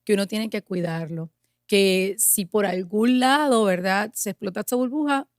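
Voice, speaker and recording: A woman speaks in an earnest, emphatic way, often building her points into rhythmic lists. Her voice sits at an ordinary middle pitch. The sound is dry and close, with no room echo. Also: normal speed. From Puerto Rico. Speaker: guest, pastor and mother. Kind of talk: conversational interview answers.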